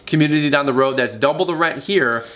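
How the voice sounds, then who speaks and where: A man in his thirties chatting casually in a home vlog, energetic and motivational, with plenty of fillers. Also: a little high, fast talker. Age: 30s. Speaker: vlogger. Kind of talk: casual couple vlog chat. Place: apartment living room, indoors.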